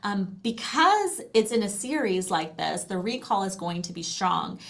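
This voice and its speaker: A woman's voice: medium pitch, sing-song, unhurried, vocal fry, fairly bright tone. A middle-aged American woman explaining a language program in a casual, chatty and encouraging way. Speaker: language program presenter and guest. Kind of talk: casual explanatory product demonstration.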